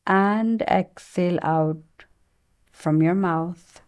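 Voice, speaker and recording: A woman leading a guided meditation in a soothing, gentle manner, with long unhurried pauses. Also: on the low side.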